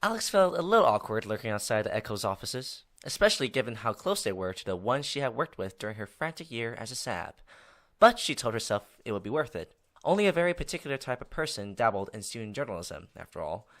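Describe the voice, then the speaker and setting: A man performing as a wry narrator for an audio drama. He reads at a fast clip with very lively intonation and a literary, observant tone. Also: mid-range voice.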